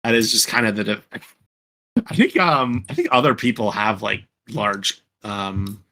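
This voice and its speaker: A man with a fairly low, bright and forward voice hosts a casual podcast. He rambles and doubles back on his words as he chats with the group. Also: expressive, measured pace. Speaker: podcast host.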